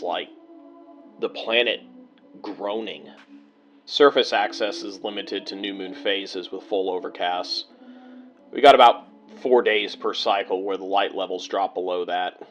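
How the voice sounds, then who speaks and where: A worn-down bunker survivor acted by a man dictates a personal log in an audio drama. His low voice is grim and measured, in terse phrases broken by halting pauses, over faint music. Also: natural voice.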